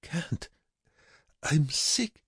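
A man voicing a weak, sick camp prisoner who mumbles haltingly and pleads, in an audiobook. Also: fairly bright tone, no room sound, clean studio sound. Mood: pleading.

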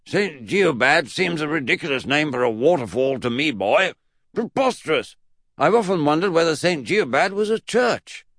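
Batty old great-uncle from a kids storybook, way up high, stern and blustery, super pompous. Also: male voice, lively intonation, normal speed, fairly bright tone, no echo, clean studio sound. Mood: pompous, confident. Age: older. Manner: pompous, emphatic, exclamatory. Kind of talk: comic children's audiobook character voice.

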